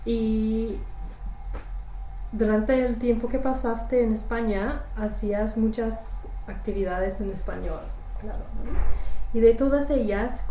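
A woman's voice: fairly high pitch, mellow. A woman interviewer asking structured questions and giving brief affirming replies. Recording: echoey room, faint noise.

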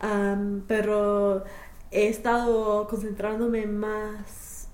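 A woman's voice: medium pitch, little pitch movement, extremely slow, a little mellow, echoey room. A young woman, a native English speaker, answering interview questions as a language learner, hesitant with many fillers and restarts. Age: young adult.

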